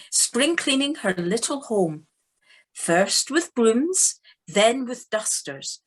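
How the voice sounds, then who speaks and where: A woman's voice: a little high, slightly rough. A woman narrating a children's story dramatically, as in a storybook audio play. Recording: home video-call recording.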